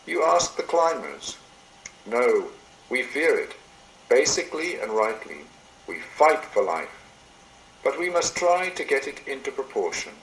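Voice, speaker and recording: A man delivers a reflective, sermon-like reading in a mid-pitched voice. He is measured and serious, with lively rises and falls across his phrases. The amateur computer recording sounds roomy. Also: a little hiss or hum. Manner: measured, solemn, deliberate phrasing. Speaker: reader of a meditation written by another. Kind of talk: read-aloud meditation, sermon-like address. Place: indoor room, recorded into a computer.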